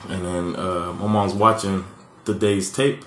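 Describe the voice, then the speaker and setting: Man from Miami, fairly high voice, animated storyteller with easy laughter and swearing; roomy sound, faint background ambience. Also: unhurried, slight background noise. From Miami, USA. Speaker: podcast co-host. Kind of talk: casual storytelling chat.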